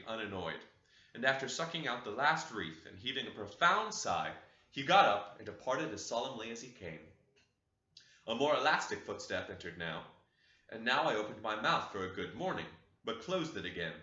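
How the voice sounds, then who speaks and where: A man reading a classic novel aloud as an audiobook, in an expressive, dramatic way, recorded in an echoey room with dull sound. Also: on the low side. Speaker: audiobook reader.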